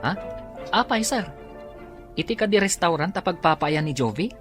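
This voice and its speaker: A woman's voice: lowish voice, expressive, normal speed, over music, natural voice. A woman with a Filipino accent playing a deferential employee in an Ilocano radio drama. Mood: surprised, deferential.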